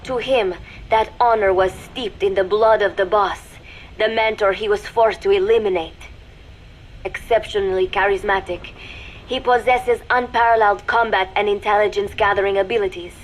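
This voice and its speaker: Woman voicing a cool calculating young spy lady from a video game, mid pitch, measured secret report, noisy background. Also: steady tone, natural voice. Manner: measured, deliberate, formal reporting. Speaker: spy recording an intelligence log. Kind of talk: scripted video game voice acting, dramatic monologue.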